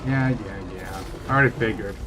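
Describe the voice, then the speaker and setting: A man streaming a strategy game who thinks aloud in a relaxed way, his voice a little raspy and tired. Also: fairly low pitch, fairly quick, noisy background. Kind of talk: live gameplay commentary.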